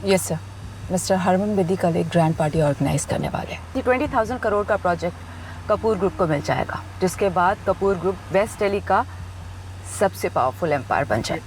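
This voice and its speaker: A woman's voice: on the low side, expressive, brisk, a little mellow, a little hiss or hum, rough recording. An actress playing a polite, efficient assistant to a powerful man in an audio drama. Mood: dutiful. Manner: polite, deferential. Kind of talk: Hindi audio drama acting.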